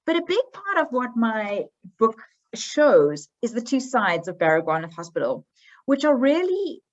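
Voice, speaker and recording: A woman's voice: a little high, sing-song, a little slow, dull audio. A middle-aged woman with a South African accent giving an online history presentation, conversational and hesitant, with frequent ums and restarts. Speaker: history professor. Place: holiday home.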